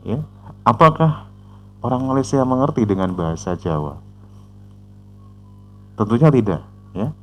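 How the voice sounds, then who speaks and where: A man hosting a reaction channel who comments on a video in a measured, explanatory way, often pausing with "eh" and tagging sentences with "ya". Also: medium pitch, expressive, a little hiss or hum. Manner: measured, explanatory, frequent "eh" fillers and "ya" tags.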